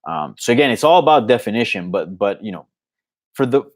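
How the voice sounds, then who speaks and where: A man's voice: lowish voice, sing-song, fairly quick. A man from Colombia whose first language is Spanish, hosting a casual, unscripted live Q&A in an informal, conversational way. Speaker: host of an MMA live Q&A show.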